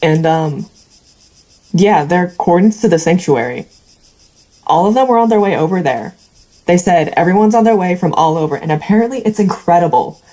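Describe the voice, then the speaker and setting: Low, mellow, blunt ranch hand. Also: female voice, animated, moderate pace, low-quality recording. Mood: tentative, hopeful. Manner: blunt, dry. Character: blunt, cynical female ranch hand yearning for a better life. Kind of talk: stage-style audio drama acting.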